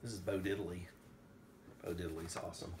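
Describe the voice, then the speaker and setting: A man's voice: deep voice, level tone, moderate pace. A man reading aloud to his young daughter like an enthusiastic, playful father, slipping between storytelling and casual asides. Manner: enthusiastic, playful, bursts into song. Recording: noisy home recording with room ambience.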